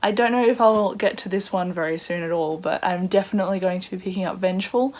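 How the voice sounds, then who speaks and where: A woman with a croaky voice, chatting casually in a book vlog with excitable asides. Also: medium pitch, fast talker. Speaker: book vlogger.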